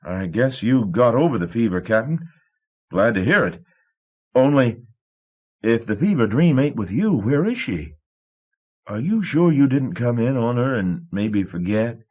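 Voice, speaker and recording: Man voicing a nervous young clerk, flustered, stammering, higher pitched. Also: sing-song, a little slow, highs cut off. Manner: stammering, babbling.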